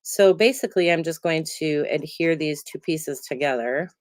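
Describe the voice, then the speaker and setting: Low-voiced crafter with a cold. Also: female voice, normal speed, a little hiss or hum. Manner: chatty, relaxed, many ums, talks while working. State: congested, getting over a cold. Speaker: card-making demonstrator. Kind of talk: casual crafting tutorial.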